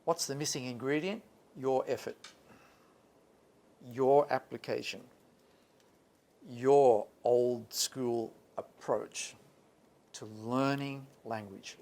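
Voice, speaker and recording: A man's voice: mid-range voice, slow pace, long pauses, a little mellow, slight background noise. A male lecturer giving a live workshop talk to students, emphatic and repeating points for stress. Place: lecture room.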